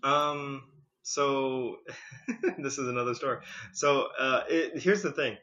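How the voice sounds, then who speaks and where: A man's voice: mid-range voice, takes it slowly. A man in his thirties, a podcast guest telling his story in a casual, chatty way, on a dull lo-fi recording. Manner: chatty, lively, leans on "like" and "you know".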